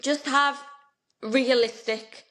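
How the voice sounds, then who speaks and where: A woman's voice: sits high, fairly even intonation, takes it slowly, fairly bright tone. A young woman, co-hosting a podcast in casual, bubbly chat. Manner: chatty, bubbly, gushing. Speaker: podcast co-host.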